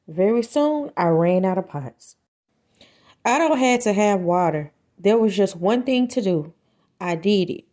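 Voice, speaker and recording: Female children's read-aloud narrator, mid-pitched and very animated, with deliberate dramatic emphasis. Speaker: storyteller reading a children's book aloud.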